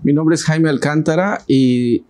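A man's voice: a little high, measured pace, darkish tone. A man in his thirties with a Mexican accent, hosting a studio Bible study and putting questions to the panel, with frequent hesitations. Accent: Mexican Spanish. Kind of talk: casual Bible study discussion. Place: TV studio set. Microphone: lapel.

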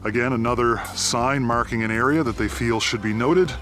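A Canadian man speaks into a handheld camera mic while he walks through a forest. His narration is unhurried and thoughtful, broken up by frequent "uh" pauses. Faint music plays quietly under his voice. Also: mid-range voice. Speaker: abandoned mine explorer. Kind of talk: walking narration while exploring. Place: outdoors in a forest.

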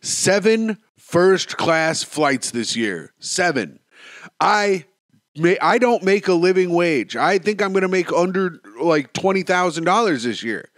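A man's voice: high voice, measured pace, no echo. Stuffed-up man rambling on a solo podcast. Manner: self-mocking, rambling, deadpan asides. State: stuffed up, sniffling. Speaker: podcast host.

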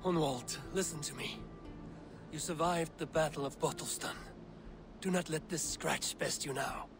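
Grim, slow-spoken Viking warrior leader. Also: male voice, a little high, music in the background. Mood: tender, grave. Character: grim, resolute Viking warrior leader, male, in his prime. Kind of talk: dramatic video game acting.

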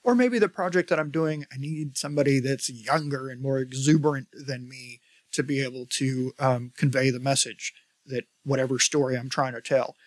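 A man in his forties with an American accent hosting a casual software tutorial in a conversational, emphatic way. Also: mid-range voice, normal speed, no echo. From United States. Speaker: tutorial host.

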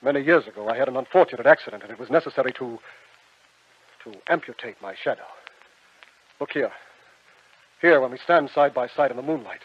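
An actor playing a silky, devilish stranger in a radio drama, coaxing with theatrical, mock-courteous charm. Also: male voice, mid-range voice, animated, brisk, pauses often, breathy edge. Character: sly, silky devilish stranger who buys shadows. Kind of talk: old-time radio drama acting. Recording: dull vintage radio recording with music.

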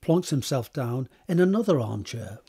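A man's voice: medium pitch, expressive, moderate pace, dark tone, breathy edge. A man narrating scripted stage directions for a drama.

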